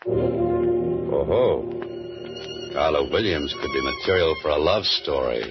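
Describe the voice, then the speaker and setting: Dry, joking man, mystery writer, 1940s radio play, very low voice, dull old broadcast. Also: huge pitch swings, takes it slowly, loud music behind the voice, heavily acted. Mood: dry, wary. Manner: dry, wisecracking. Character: wry male mystery writer turned adventurer. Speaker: lead actor. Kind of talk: 1940s radio drama acting.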